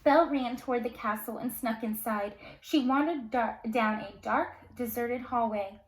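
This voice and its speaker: A woman's voice: a little high, normal speed, rough recording. A woman reading a fairy tale aloud to children expressively, with casual asides. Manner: expressive, dramatic storytelling. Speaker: storyteller, teacher.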